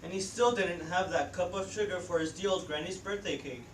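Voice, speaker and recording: A woman's voice: on the low side, a little fast. Teenage girl narrator, distant and echoey. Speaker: student narrator. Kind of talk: theatrical storytelling on stage. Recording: echoey room, distant mic, faint noise.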